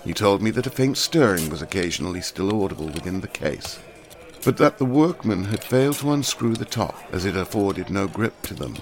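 A man narrating a classic novel aloud in a measured, precise reading. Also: low voice, lively intonation. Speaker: audiobook narrator.

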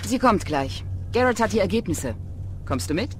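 A woman acting driven investigator Carla, lively intonation. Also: mid-range voice, normal speed, a little hiss or hum, close to an everyday voice. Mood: sceptical. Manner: businesslike, sceptical. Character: serious, driven female police investigator Carla. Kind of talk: audio drama acting of a video game story. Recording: music under the voice.

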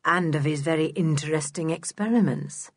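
A woman voicing a smooth, nosy, sinister man in a children's audiobook, measured and probing. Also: sits low, dry recording, clean studio sound. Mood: slyly curious. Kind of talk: children's audiobook character acting.

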